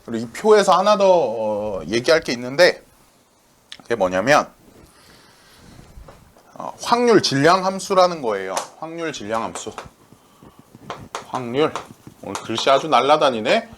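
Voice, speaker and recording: A man teaching a math class, lively, asking his students questions, answering them himself, and working the arithmetic aloud. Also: mid-range voice, unhurried, pauses often, a little hiss or hum. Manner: talks through arithmetic aloud.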